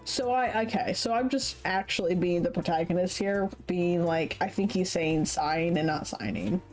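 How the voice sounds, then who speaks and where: A woman's voice: fairly low pitch, lively intonation, normal speed, faint music behind. Chatty woman streamer, laughing. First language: English. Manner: chatty, laughs often, many fillers. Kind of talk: casual gameplay commentary.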